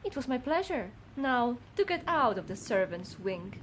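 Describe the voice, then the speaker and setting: A woman's voice: on the high side, big pitch swings, normal speed, a little hiss or hum, rough recording. A woman playing a gossipy, judgmental older housekeeper in a video game, with a fussy, haughty manner. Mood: disapproving, suspicious. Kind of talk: scripted game character dialogue.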